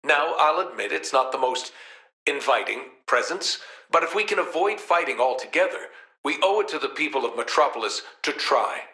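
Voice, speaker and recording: A man voicing a powerful superhero in a video game, speaking in an earnest, deliberate manner. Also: a little high, lively intonation, sharp tone, breathy edge, no echo, heavily acted. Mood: earnest, serious. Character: powerful male superhero, once kindly, now menacing. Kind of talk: acted video game voice lines.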